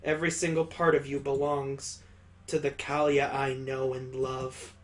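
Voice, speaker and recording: A man's voice: medium pitch, level tone, measured pace, voice pushed down, close to an everyday voice. A man voicing a playful, affectionate young woman who teases in a visual novel. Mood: affectionate teasing. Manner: teasing.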